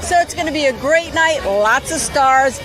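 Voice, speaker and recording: A woman's voice: high voice, expressive, normal speed. A woman working as a radio news reporter, covering a red-carpet event outdoors and interviewing guests. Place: outdoors on a busy city street. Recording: street noise, crowd and music. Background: crowd, music and traffic.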